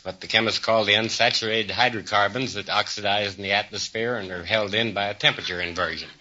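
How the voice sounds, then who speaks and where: A man speaking as a radio quiz contestant, measured and matter-of-fact, with a technical turn of phrase. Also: fairly low pitch, steady tone, very noisy. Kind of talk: unscripted explanation and short answers. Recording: dull 1950s radio broadcast.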